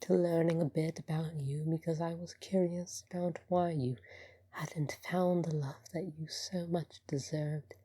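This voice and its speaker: A woman playing a bashful, doting god of love in an ASMR roleplay, speaking softly and breathily with a hesitant, tender, playful manner. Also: low voice, steady tone, measured pace, dry recording. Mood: apologetic, earnest. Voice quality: soft, breathy, partly whispered. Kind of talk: ASMR roleplay spoken to the listener.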